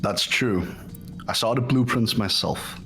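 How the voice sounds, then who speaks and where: A man's voice: mid-range voice, unhurried, sharp tone. A man voicing a stern, disdainful young man in a fan-voiced visual novel, curt in manner. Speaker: voice actor in fan game playthrough. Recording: music under the voice.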